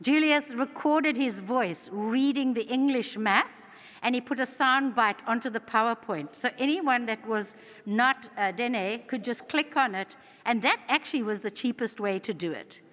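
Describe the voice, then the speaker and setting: A woman's voice: on the high side, normal speed, fairly bright tone. A woman from South Africa with a South African accent, giving an earnest conference presentation in a large hall. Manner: earnest, fluent, with frequent restarts. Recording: long hall reverb.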